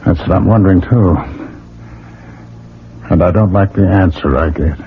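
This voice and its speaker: A man's voice: low voice, normal speed. An actor playing a worried, defiant middle-aged American scientist father in a 1970s radio drama, speaking emphatically. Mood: grave. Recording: muffled, hissy old radio recording.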